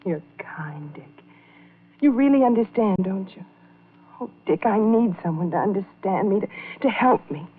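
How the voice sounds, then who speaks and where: A woman's voice: medium pitch, animated, moderate pace, slightly rough. A woman acting an unhappy, pleading wife with a melodramatic manner in an old-time radio drama. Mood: grateful, pleading. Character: unhappy, pleading young wife confiding in a man. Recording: muffled old radio recording.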